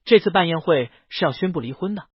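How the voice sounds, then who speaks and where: An incredulous society gossip from an audio romance, acted by a male narrator, baffled and nosy. The pitch swings widely in a husky voice on slightly dull audio. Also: expressive, quick pace, no echo. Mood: gossipy. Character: baffled, gossiping crowd of high-society guests.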